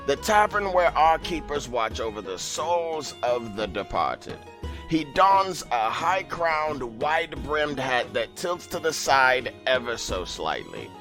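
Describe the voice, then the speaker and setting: Man doing a dramatic tale keeper, slow, all over the place pitch-wise, music under it. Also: exaggerated voice. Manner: grandiose, sweeping delivery. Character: theatrical male keeper of tales in an afterlife tavern. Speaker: narrator.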